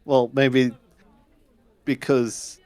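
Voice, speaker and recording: A man talking casually and haltingly as a player in a tabletop roleplaying game. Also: medium pitch, little pitch movement, a little mellow, smooth. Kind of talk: casual banter.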